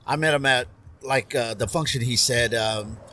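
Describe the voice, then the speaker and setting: A man's voice: mid-range voice, moderate pace, sharp tone. Middle-aged army vet guy on a patio, earnest and rambly, little background hum. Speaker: guest, Army veteran. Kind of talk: casual podcast conversation. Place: covered patio with string lights at night.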